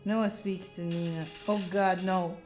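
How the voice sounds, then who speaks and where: Low-voiced woman narrating a horror game. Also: fairly even intonation, measured pace, over music. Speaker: game streamer reading a visual novel aloud. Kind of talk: dramatic narration with casual asides.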